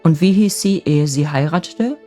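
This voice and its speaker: An old bachelor from a storybook fairy tale, voiced by a woman, gruff yet softening. The voice sits low and is earnest and restrained in manner. Music runs behind it. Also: moderate pace, lightly acted. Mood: uneasy. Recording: music bed under the voice.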